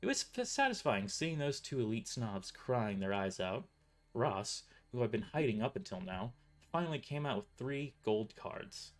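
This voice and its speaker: A man's voice: mid-range voice, very animated, moderate pace. A man reading his own first-person story aloud as narrator, often stumbling and restarting his sentences. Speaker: author narrating his novel.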